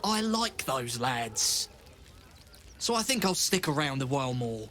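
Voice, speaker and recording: A man's voice: mid-range voice, sing-song, unhurried, a bit bright, a little hiss or hum. An actor playing a small, sly, sentimental male creature with a whiny, conspiratorial manner. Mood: secretive, fond.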